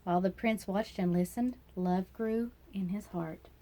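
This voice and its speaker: A woman's voice: mid-range voice, unhurried, dark tone. A woman narrating a children's fairy tale as a storyteller, reading aloud expressively.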